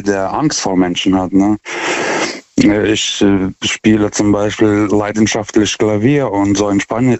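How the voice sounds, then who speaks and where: A forty-year-old man calling a radio phone-in, speaking non-native German with an accent, haltingly, stammering over the starts of words. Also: lowish voice, a little mellow, no room sound. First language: not German. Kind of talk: unscripted, reflective call-in talk. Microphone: telephone. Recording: dull, band-limited phone call.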